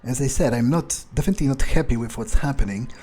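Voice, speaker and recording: A man's voice: mid-range voice, quick pace, mellow, natural voice. A man playing a resentful, suspicious fledgling vampire, grumbling his thoughts aloud hesitantly in an improvised in-character video game playthrough. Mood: grudging, wondering. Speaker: gaming video player.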